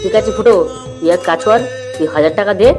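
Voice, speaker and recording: A man's voice: high voice, fast, music in the background, natural voice. A man voicing a scheming, cajoling young drinking buddy in a comic cartoon.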